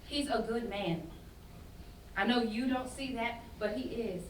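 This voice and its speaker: A woman playing a soft-hearted, loyal and defensive young woman in a staged play reading, earnest and speaking in vernacular. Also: fairly high pitch, a little slow, close to an everyday voice. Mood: wistful, tender. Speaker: student actor in a staged reading. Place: indoor festival staged reading. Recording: echoey room, distant mic, faint noise.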